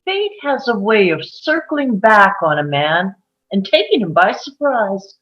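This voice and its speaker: Animated woman, acting a guarded small-town confidante. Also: mid-range voice, a little slow. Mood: wistful, storytelling. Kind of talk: table read drama acting. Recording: muffled, music underneath.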